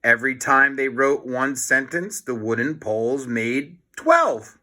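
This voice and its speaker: A man reading a children's book aloud in an expressive, deliberate way, with short explanatory asides. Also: medium pitch. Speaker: storybook reader.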